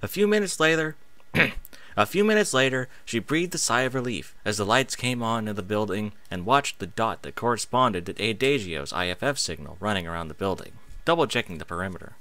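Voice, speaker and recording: Guy reading a story out loud, dramatic, lowish voice that swings around a lot, no room echo. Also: lively intonation, a little fast. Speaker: narrator reading fan fiction aloud. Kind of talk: scripted story narration, dramatic audiobook reading.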